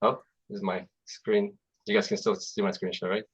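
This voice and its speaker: A man in his forties whose first language is Russian, born in Azerbaijan, giving a relaxed, chatty talk as a guest software engineer over a video call, with many fillers and restarts. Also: lowish voice, normal speed, slightly rough, muffled recording. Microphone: computer mic on video call.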